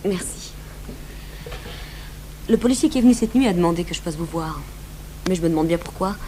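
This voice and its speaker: Detached young lady female actor, slightly low register, polite but unsentimental, old radio play with light background noise. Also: expressive, brisk, dark tone, lightly acted, low-quality recording. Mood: cool, detached. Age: young adult. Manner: cool, matter-of-fact. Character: cool, detached young bourgeois sister of victim. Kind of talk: 1980s French radio drama acting. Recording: faint noise and hum, old radio recording.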